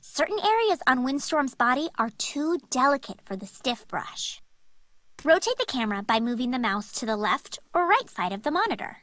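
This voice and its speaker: High, perky farm girl. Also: sing-song, moderate pace, fairly bright tone, dry recording. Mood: instructive. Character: perky young farm girl, helpful and friendly. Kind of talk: video game dialogue and tutorial.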